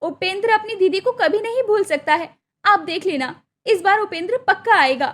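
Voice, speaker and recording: A woman's voice: very high-pitched, animated, normal speed, voice pushed up. A woman voicing a hopeful, devoted young village sister in a cartoon story, earnest and pleading. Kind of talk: Hindi cartoon moral story acting.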